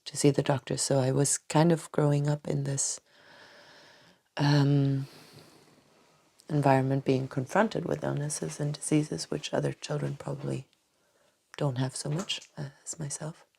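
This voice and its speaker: A woman from Tanzania with a low, gravelly voice that stays dark and husky. She answers at a measured, hesitant pace, often breaking off and restarting her phrases before they trail off softly. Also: little pitch movement. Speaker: doctor and acupuncturist, podcast guest. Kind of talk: reflective interview answers. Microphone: podcast microphone.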